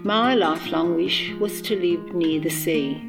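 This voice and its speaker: A middle-aged Australian woman, a poet, reading and reflecting on her work in measured, deliberate phrases. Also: on the low side, music in the background, low-quality recording.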